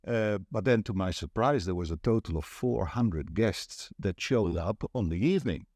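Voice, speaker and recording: Very deep-voiced Dutch man in conversation. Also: lively intonation, moderate pace, a little mellow, dry recording, clean studio sound. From Netherlands. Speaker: networking event organiser, interview guest. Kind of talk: conversational interview, recounting.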